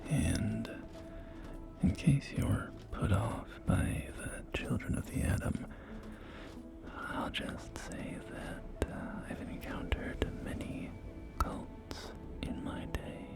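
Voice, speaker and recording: A man playing a folksy post-apocalyptic real estate agent in a whispered ASMR roleplay, with gentle, hesitant, reassuring sales patter. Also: fairly low pitch, slow, vocal fry, full character voice. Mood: confiding. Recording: close whisper over soft music.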